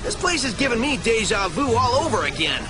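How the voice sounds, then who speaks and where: A man's voice: extremely high pitch, very animated, fairly quick. A man playing a cocky, upbeat young hedgehog hero in a video game, breezy and confident. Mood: casual, curious. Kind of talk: video game cutscene acting. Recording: music behind the voice.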